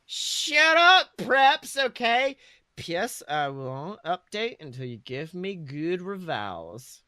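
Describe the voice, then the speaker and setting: Man putting on a high, petulant teenage girl author. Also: big pitch swings, sharp tone, no echo, studio quality, exaggerated voice. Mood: huffy. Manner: huffy, emphatic, drawn-out scolding. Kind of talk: mocking dramatic reading.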